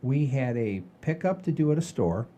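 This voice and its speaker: Mellow, rambling middle-aged male show host. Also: medium pitch, moderate pace. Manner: rambling, animated storyteller with frequent swearing. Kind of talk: casual chat, storytelling.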